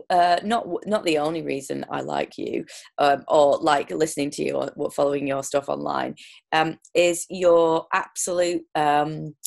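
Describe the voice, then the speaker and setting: A low-voiced woman with a gravelly, creaky edge, hosting a relaxed conversational interview. She rambles warmly through her questions, circling back with ums and restarts before landing on the point. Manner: chatty, lots of restarts and ums. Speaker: podcast host. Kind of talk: casual interview chat.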